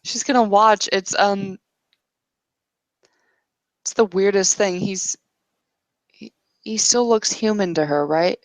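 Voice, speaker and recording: A woman improvising narration as a player in a tabletop roleplaying game, hesitant and halting. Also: mid-range voice, no room sound, low-quality recording.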